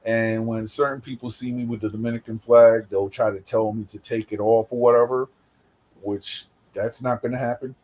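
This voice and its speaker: A man with a New York accent hosts a casual talk. He tells rambling stories, full of fillers and restarts. His pitch sits in the middle for a man. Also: steady tone, normal speed, a little mellow. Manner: loose, rambling storytelling with many fillers. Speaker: host. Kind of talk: casual chat.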